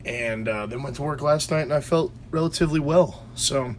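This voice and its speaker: A man's voice: mid-range voice, a little fast, slight background noise. A man co-hosting a podcast from his basement, telling a rambling story with lots of restarts and fillers. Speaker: podcast co-host. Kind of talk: casual chat. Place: home basement.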